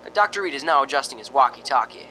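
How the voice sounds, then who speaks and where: A man's voice: medium pitch, expressive, fast talker, bright, forceful tone, a little hiss or hum, rough recording. A man playing a jittery, joking, skeptical radio reporter in an amateur radio drama, with a folksy, stammering delivery. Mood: uneasy narrating. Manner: folksy, wisecracking, nervous stammering.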